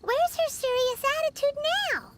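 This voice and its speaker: A woman voicing a tiny, chirpy, childlike fairy companion in a video game, with a squeaky voice and a blunt, nosy, exclaiming manner. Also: expressive, normal speed, heavy background noise. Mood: suspicious. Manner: refers to self by name, exclaiming, outspoken. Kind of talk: cartoonish video game acting.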